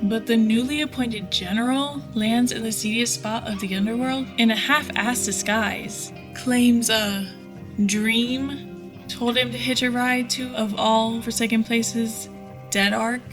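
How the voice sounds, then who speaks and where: A woman's voice: a little high, slow. An actress playing a sly, hard-bitten female bounty hunter in an audio drama, cool, sardonic and taunting. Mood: mocking. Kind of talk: dramatic audio fiction acting. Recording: music under the voice.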